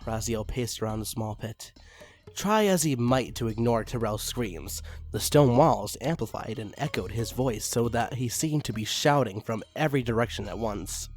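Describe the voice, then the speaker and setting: Guy reading a fantasy fanfic dramatically, average pitch, music playing underneath. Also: animated, moderate pace, darkish tone. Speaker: reader of fan fiction. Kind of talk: dramatic story narration.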